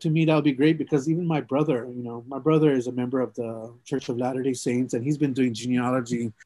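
A man's voice: mid-range voice, fairly even intonation, quick pace, dark tone, dry recording, poor audio. A middle-aged man from Hawaii, telling his life story in an oral history interview, rambling and reflective with many fillers and restarts.

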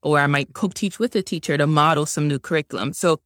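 A woman's voice: deep voice, fast talker, dry recording. Guest voice: young woman, native Haitian Creole speaker, earnest and chatty, dry recording. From Georgia, US. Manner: earnest, explanatory, uses "like" often. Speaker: guest, science instructional coach. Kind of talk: conversational interview answers.

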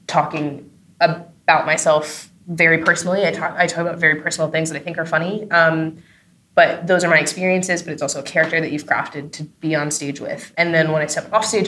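Low-pitched chatty woman, noisy background. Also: fairly bright tone. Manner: chatty, informal, frequent "like" and tag "right?". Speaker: interview guest, audio drama creator and comedy performer. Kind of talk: casual spoken interview answers. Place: on location at a film festival.

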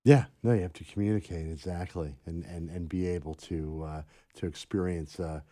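A man with a very low, mellow voice conducts the interview, recorded dry and close. He stumbles and repeats words as he frames his questions, often agreeing along the way. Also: steady tone, no echo. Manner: halting, repeats words, supportive backchannels. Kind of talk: interview chat.

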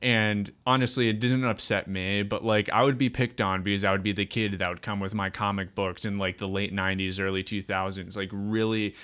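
A man's voice: lowish voice, level tone, a little fast, few pauses, a bit bright, clear, resonant tone. A man in his thirties hosting a casual interview, talking in an enthusiastic, chatty and upbeat way. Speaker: podcast host.

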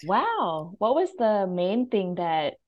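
A woman's voice: mid-range voice, sing-song, slow pace, muffled recording. A woman asking questions as the interviewer in a casual, conversational style.

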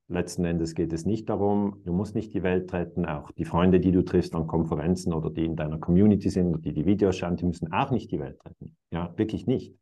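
A man's voice: deep voice, steady tone, fairly quick, few pauses, warm tone. A man in his fifties talking reflectively in conversation, musing aloud and chuckling now and then. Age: 50s.

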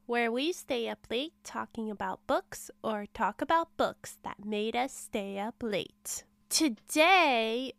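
A woman hosting a book review show in a chatty, enthusiastic way, her voice a little rough from a cold. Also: a little high, a bit bright, no room sound. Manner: chatty, enthusiastic, self-deprecating asides. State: slightly rough voice from a cold and allergies. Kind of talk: casual book talk.

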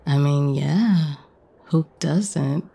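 Low, mellow, playful actress as diner woman. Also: very slow, creaky, slightly rough, full character voice. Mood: teasing, encouraging. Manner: playful, casual, bantering. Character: friendly, teasing woman running a downtown diner. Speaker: guest voice actor in an audio romance. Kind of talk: romance audio drama acting, conversational.

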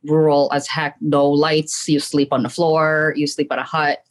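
Podcast guest: woman, middle-aged, low register, from the Philippines, casual and candid personal storytelling with emphatic check-ins. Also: level tone, measured pace. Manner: candid, emphatic, often checks in with "right" and "you know". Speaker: podcast guest, trauma coach and spiritual medium.

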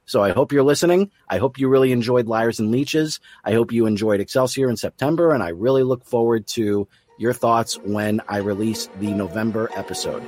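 A man's voice: medium pitch, fairly quick, hardly any pauses, a little mellow. A man hosting an interview podcast, speaking in an effusive, appreciative way. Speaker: podcast host and producer.

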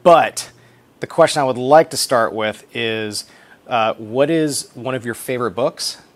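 Upbeat male podcast host. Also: mid-range voice. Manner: upbeat and eager, asks quick follow-up questions. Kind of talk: interview hosting.

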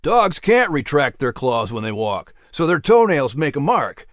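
A man's voice: on the high side, very animated, unhurried, no room sound, clean studio sound. A man voicing a patient uncle who explains the woods like a teacher.

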